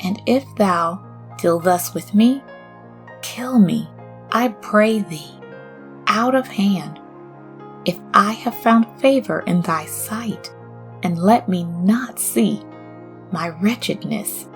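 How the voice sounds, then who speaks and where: A woman acts out a burdened prophet in an audio scripture, pleading with emphatic, declamatory force. The words come slowly and are raised in pitch and weight, with music underneath. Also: expressive. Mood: despairing. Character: Moses, burdened male leader pleading in anguish.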